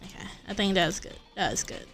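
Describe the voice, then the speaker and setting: A woman's voice: medium pitch, normal speed, a bit bright, soft background music. A woman livestreaming a video game, chatting casually and thinking aloud in rambling, repeated phrases. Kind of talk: casual livestream chat while gaming.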